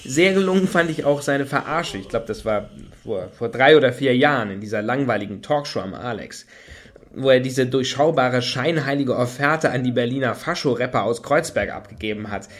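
A skeptical, wry man in a radio drama, played by an actor. His mid-range voice moves with lively intonation while he delivers dry, pointed remarks. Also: mid-range voice, normal speed, natural voice. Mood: amused recollection. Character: wry, skeptical man commenting among backstage companions. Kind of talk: radio play dialogue.

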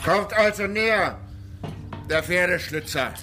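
Slow, high-pitched, sardonic village man. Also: expressive, bright, forceful tone, a little hiss or hum, heavily acted. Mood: sardonic, wary. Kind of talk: radio crime drama dialogue.

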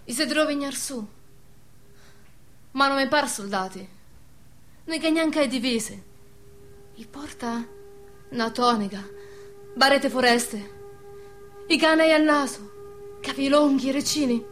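A bright, forceful, high wary, devout woman in a wartime radio play. She speaks with a Veneto accent in an urgent, exclamatory way, with clear background noise behind her. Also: measured pace, pauses often. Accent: northeastern Italian, Veneto. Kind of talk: dialect radio drama acting. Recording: noticeable background noise and ambience.

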